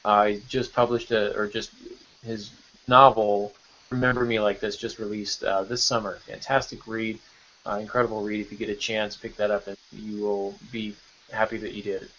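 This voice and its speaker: A man's voice: fairly low pitch, flat delivery, normal speed, fairly bright tone, a little hiss or hum. A man hosting a podcast panel in informal, bantering chat over an online call. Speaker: podcast host. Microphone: online video call. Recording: dull, lo-fi call audio.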